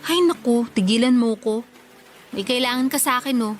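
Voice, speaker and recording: An actress playing a dutiful but exasperated young Filipina working daughter, firm and long-suffering, in a Tagalog radio drama. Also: female voice, a little high, moderate pace, a little hiss or hum, natural voice. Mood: wary, teasing. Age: young adult.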